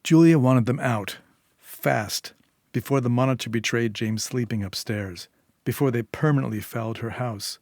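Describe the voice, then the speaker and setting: A male narrator reads literary fiction at a medium pitch for a man. The recording is dry and close, with hardly any room around the voice. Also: moderate pace, a little mellow, no room sound. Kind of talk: scripted short story narration.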